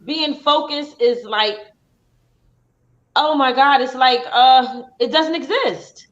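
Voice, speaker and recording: A woman hosting a casual live stream, chatty and quick to agree, often restarting her sentences. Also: sits high, fairly bright tone. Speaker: host of a live talk stream. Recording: slightly dull lo-fi stream audio.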